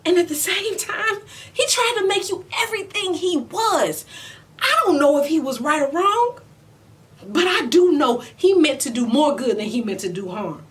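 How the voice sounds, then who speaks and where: A woman in her forties playing a weary, proud, loving mother in an emotional stage monologue, speaking deliberately with heavy pauses. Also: lively intonation. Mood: pained, emphatic. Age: 40s. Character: weary, proud middle-aged mother and widow, wounded but loving. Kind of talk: emotional stage monologue, self-taped audition. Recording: distant mic, noticeable low rumbling noise.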